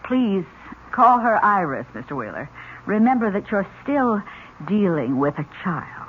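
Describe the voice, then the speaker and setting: A woman's voice: mid-range voice, very animated, a little slow. An actress playing a stern, disapproving nun in charge of a girls' home, formal and severe, in a 1970s radio drama. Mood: firm, formal. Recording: muffled old radio recording, noticeable hiss.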